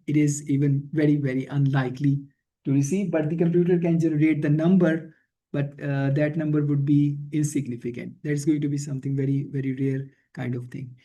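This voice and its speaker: A man teaching in a screencast tutorial, thinking aloud haltingly and often checking in with a questioning tag. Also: on the high side, warm tone, rough recording. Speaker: instructor. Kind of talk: screencast programming and statistics tutorial.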